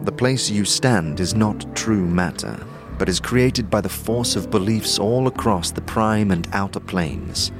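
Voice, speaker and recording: A man voicing a patient, learned sage in a fantasy audio drama, lecturing formally and deliberately. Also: lowish voice. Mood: earnest, instructive. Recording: music behind the voice.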